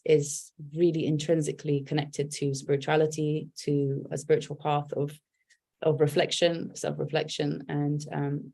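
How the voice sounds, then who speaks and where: A woman's voice: sits low, warm tone. A woman, a poet and writer talking candidly and thoughtfully in an interview, with many restarts. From England. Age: 40s. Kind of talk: reflective conversational interview.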